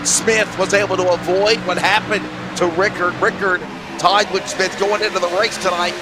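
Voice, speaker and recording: A man doing play-by-play for an online race broadcast, his voice hoarse and raspy, rattling off the running order. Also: high voice, flat delivery, music in the background. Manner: rapid rundown of race order. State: hoarse from allergies. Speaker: play-by-play commentator. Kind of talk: sim racing broadcast commentary. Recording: noisy track sound behind.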